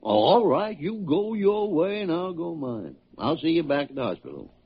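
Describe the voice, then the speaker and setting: A businesslike hospital man from a vintage radio serial. His pitch moves widely, and the old recording is muffled and loud with noise. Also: very animated, moderate pace. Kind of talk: classic American radio drama acting.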